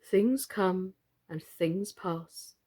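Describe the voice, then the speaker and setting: A woman acts out an anxious little gentleman doll from a children's storybook. He is earnest and wistful, with a slightly trembling, sentimental delivery. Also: mid-range voice, drawn-out words.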